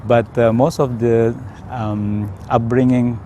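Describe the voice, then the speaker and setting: A man from Indonesia with an Indonesian accent, telling his personal story in a hesitant, careful way with frequent pauses. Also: medium pitch, level tone, mellow, a little hiss or hum. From Indonesia, South Sumatra. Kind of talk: unscripted personal testimony.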